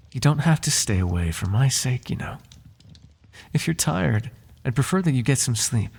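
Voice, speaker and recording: A man's voice: mid-range voice, sing-song, measured pace, gravelly, pauses often, a little mellow, slightly rough, full character voice. A man playing a devoted, lovesick butler in an intimate ASMR roleplay, flirting with his master in formal, courtly, teasing and tender words. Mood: tender, coaxing.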